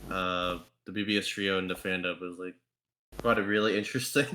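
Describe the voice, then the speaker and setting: Male podcast panelist, bright tone, casual, stammering, foul-mouthed rambler. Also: low voice, little pitch movement, brisk, slight background noise. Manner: rambling, stammering restarts, sweary. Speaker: podcast panelist and fandub voice actor. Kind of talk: casual group chat about a game fandub.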